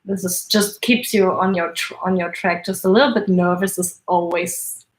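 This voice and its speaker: A woman's voice: lowish voice, fairly even intonation, normal speed. Woman in an echoey room. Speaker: co-host of a live book discussion. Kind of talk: casual discussion.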